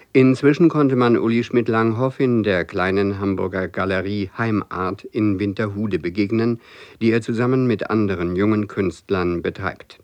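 A man's voice: lowish voice, dry recording. A man reading a formal, scripted introduction to an audio play as a radio presenter. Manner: formal, literary wording.

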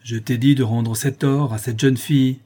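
A man's voice: mid-range voice, steady tone, normal speed, dark tone, husky, close to an everyday voice. A man voicing a righteous prince in disguise in a storybook, curt, hard and imperious. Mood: stern, commanding.

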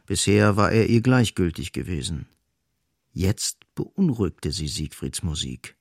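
Male narrator for literary radio play: low, slightly rough voice, dry close sound, deliberate phrasing. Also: very animated, a little mellow, no echo. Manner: measured, deliberate, emphatic repetition.

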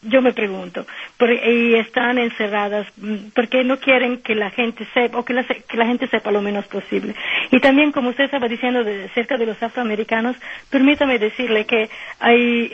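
Italian woman guest, husky voice on a dull phone line, halting speech with fillers. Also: on the high side, fairly even intonation. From Italy. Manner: hesitant, many fillers, searching for words. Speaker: nun and guest. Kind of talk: radio phone interview.

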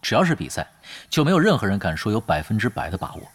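A man's voice: on the low side, sing-song, fast. A man acting in a Mandarin audio drama as an easygoing, confident esports team leader, wry and plain-spoken. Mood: calm, assured. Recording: clear music under the voice.

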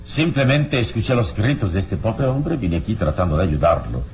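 Noisy old radio drama: enigmatic hero, deep, sweeping intonation, formal and self-assured. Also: male voice, big pitch swings, darkish tone. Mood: serene, assured. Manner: measured, formal, theatrical. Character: serene, enigmatic oriental-robed male hero, courteous and confident.

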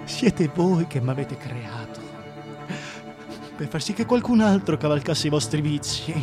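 A man's voice: sing-song, unhurried, music in the background. An actor playing a vain, self-pitying tyrant king in a theatrical, declamatory radio drama monologue, volatile and contemptuous. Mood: bitter, accusing. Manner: declamatory, volatile, contemptuous, swinging from hushed to shrill.

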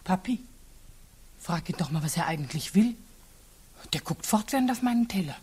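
An actress playing a colonel's petulant, squeamish daughter in a 1940s radio drama, whining and complaining. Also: female voice, on the low side, animated, moderate pace, darkish tone, slightly rough.

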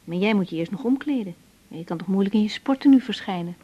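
An actress playing a tender, guarded young doctor in a naturalistic radio drama, speaking softly and hesitantly on a slightly dull recording. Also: female voice, medium pitch, lively intonation, breathy edge. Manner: hesitant, soft, halting.

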